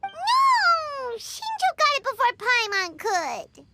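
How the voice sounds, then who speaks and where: Tiny floating fairy sidekick, childish, excitable, extremely high voice, unhurried, video game cutscene. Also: female voice, full character voice. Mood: indignant. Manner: whiny, refers to self in third person. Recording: background music under the voice.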